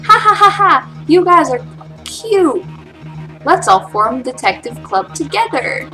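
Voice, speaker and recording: Woman voicing a high, playful teenage schoolgirl. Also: very animated, slow pace, sharp tone, soft background music, heavily acted, rough recording. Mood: amused, excited. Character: cheerful, teasing teenage schoolgirl in a visual novel.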